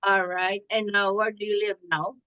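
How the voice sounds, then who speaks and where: A woman hosting a community talk show interview, halting with many fillers. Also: medium pitch, flat delivery, bright, forceful tone, dull audio. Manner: halting, many ums and uhs, chatty with laughs. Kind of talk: casual interview.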